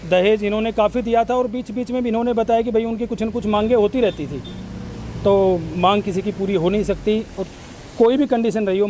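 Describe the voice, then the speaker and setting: Male villager, high-pitched voice, earnest and insistent interview testimony, faint outdoor noise behind. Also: dark tone. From Haryana, India. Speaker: villager interviewed by local news. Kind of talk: on-camera news interview testimony.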